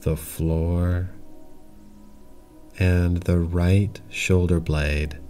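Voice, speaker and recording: A man guiding a yoga nidra relaxation in calm, measured instructions, leaving long pauses between short phrases. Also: deep voice, soft background music. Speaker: yoga nidra meditation guide. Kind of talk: guided meditation, slow calm instruction.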